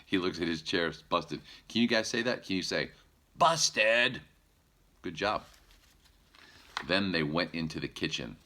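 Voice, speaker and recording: A man's voice: deep voice, moderate pace, bright, forceful tone. A man reading a children's story aloud playfully, with asides to young listeners.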